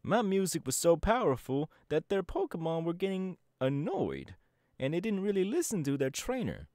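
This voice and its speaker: A cocky young DJ gang boss, voiced by a man, bragging straight at the listener in an audio roleplay. His voice bounces all over the place in pitch, and the recording is dry and close with no room sound. He talks with a relaxed, swaggering confidence. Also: normal speed, no room sound. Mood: boastful. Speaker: Team Star leader in a roleplay.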